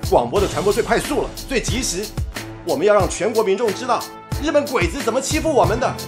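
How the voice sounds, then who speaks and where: Fiery patriotic station worker acted out, emphatic radio drama delivery, mid-pitched, music clearly behind. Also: expressive, quick pace, close to an everyday voice. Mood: fervent. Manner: emphatic, indignant.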